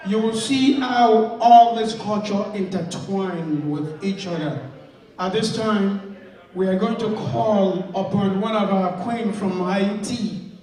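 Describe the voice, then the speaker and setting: A man acting as emcee at a gala, introducing performers in a playful, drawn-out way. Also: high-pitched, darkish tone. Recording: echoey hall from far off.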